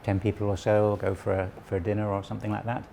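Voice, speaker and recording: A man around sixty, originally from Wales, calmly explaining local history and his website as an interview guest, with small restarts. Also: lowish voice, quick pace, creaky, slight background noise. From southwest Wales. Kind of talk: relaxed conversational explanation.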